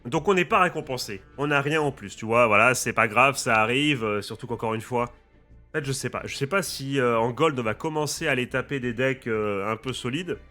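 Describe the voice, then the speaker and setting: A bright, forceful-sounding man with a slight head cold, talking casually and eagerly to his viewers. He keeps a chatty, lively tone as he lays out the rules, while quiet music plays in the background. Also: medium pitch, brisk. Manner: lively, addresses viewers as friends and guys. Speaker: gaming YouTuber and streamer.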